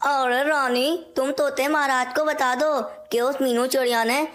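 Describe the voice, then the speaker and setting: A woman's voice: high voice, fairly even intonation, a little slow, fairly bright tone, slight background noise. A woman voicing a scheming, deceitful male crow in a cartoon moral story. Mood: feigned reluctance.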